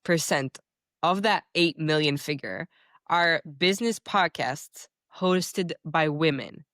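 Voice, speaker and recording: Woman podcast host, very low voice, dry sound, curious, halting questions. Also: a bit bright, dry recording. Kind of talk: interview questions.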